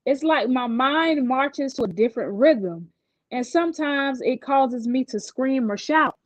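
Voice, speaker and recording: Woman voicing an anxious, high-pitched little schoolgirl. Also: expressive, measured pace, no room sound, poor audio. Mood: earnest. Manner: nervous, blurting, earnest. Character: anxious, sweet schoolgirl who is easily upset.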